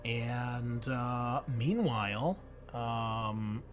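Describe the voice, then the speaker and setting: A man's voice: medium pitch, extremely slow, soft background music. A man running a tabletop roleplaying game, narrating scenes and explaining rules to players in a casual, informal way.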